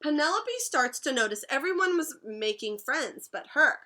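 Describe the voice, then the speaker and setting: Young female teacher, patient read-aloud style, bright and a touch high. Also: expressive, moderate pace. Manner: patient, explains words and asks questions. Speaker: teacher reading to class. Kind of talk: read-aloud storytime lesson.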